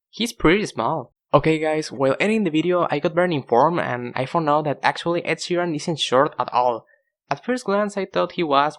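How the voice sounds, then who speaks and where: A young man with as his language hosts a casual, comedic video. His voice sits on the high side for a man and swings widely in pitch as he pokes fun at himself. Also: creaky. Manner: self-deprecating, animated. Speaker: video host. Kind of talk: casual scripted comedic narration to viewers.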